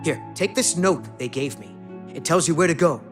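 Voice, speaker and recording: A man plays a pompous, nervous fantasy book collector, quick to get flustered and defensive. His pitch swings widely from line to line, with quiet music behind him. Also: lively intonation, natural voice. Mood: subdued, fearful. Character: haughty, nervous, defensive male book collector and cult enthusiast. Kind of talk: video game dialogue acting.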